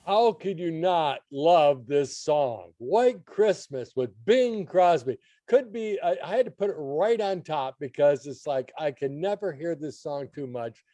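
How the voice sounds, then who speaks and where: A man's voice: fairly high pitch, lively intonation, unhurried, few pauses, dark tone, dry recording. A man giving opinions as a regular guest reviewer in casual, conversational radio chat.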